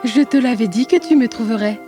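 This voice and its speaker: A woman's voice: a little high, animated, fairly quick, pitched below the performer's own voice, natural voice. A woman voicing a sweet, devoted young maiden in love in a fairy tale, with music behind her. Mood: tender. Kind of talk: fairy tale character acting.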